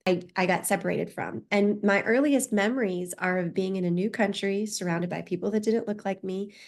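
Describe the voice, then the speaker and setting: Reflective woman with a gravelly edge. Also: mid-range voice, normal speed. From United States. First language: Spanish. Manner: reflective, open, with frequent restarts. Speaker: podcast guest, author. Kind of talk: personal story in an interview.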